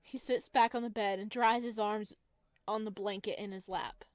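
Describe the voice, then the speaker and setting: A woman reading a visual novel aloud as expressive first-person narration, with a playful, teasing manner. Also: medium pitch, normal speed, no room sound, studio quality.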